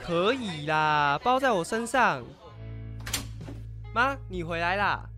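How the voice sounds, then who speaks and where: A woman's voice: fairly low pitch, lively intonation, takes it slowly, music in the background, crowd noise. A woman playing a nervous schoolboy who is afraid of his strict mother, in an amateur audio drama. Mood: unsure, reassuring. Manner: stammering, pleading when cornered.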